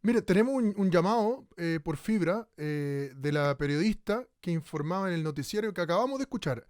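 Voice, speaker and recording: An actor playing a male radio host who moderates a debate in a measured way, with hesitant fillers, in a radio drama. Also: high voice, lively intonation, darkish tone, no room sound, studio quality.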